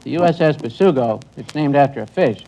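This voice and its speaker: A man answering a quiz host's questions as a contestant, polite, measured and a little hesitant. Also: mid-range voice, lively intonation, dark tone, low-quality recording. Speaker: contestant, naval officer. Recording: old broadcast, faint background noise.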